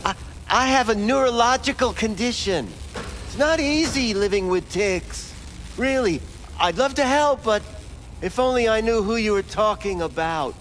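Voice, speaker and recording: A man's voice: high-pitched, animated, fairly bright tone, a little hiss or hum. A man playing a sleazy, unctuous back-alley doctor in a video game, oily and evasive in his manner. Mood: nervous, evasive. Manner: oily, drawn-out, stammering when pressed. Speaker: back-alley ripperdoc character. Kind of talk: video game character acting.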